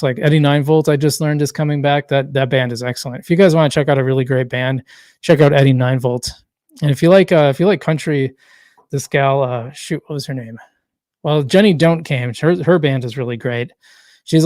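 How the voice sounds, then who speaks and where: Relaxed man with a tired voice. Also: medium pitch, no echo, clean studio sound. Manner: rambling, self-correcting, reads chat comments aloud. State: losing his voice. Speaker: livestream host. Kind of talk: casual solo livestream chat.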